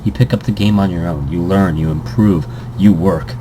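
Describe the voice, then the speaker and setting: Male let's play host, low voice, reads the story aloud and stumbles over words, loud air conditioning hum. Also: moderate pace. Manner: stumbles and restarts words, chuckling asides. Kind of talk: reading a visual novel aloud with commentary.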